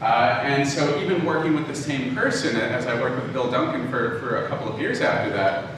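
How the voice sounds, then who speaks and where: A man giving an informal, conversational lecture, often halting and backtracking to rephrase as he tells personal anecdotes. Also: mid-range voice. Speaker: lecturer, audio drama writer and producer. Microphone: distant from the speaker. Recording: very echoey room, distant mic, clearly noisy.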